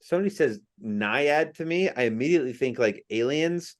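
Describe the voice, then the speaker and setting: A man's voice: mid-range voice, expressive, normal speed. A man co-hosting a podcast, chatting casually about films and dropping dry, sarcastic asides. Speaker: podcast co-host, film reviewer. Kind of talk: casual chat.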